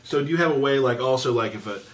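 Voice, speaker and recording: Fast-talking male interview host. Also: mid-range voice, rough recording. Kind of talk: casual live interview.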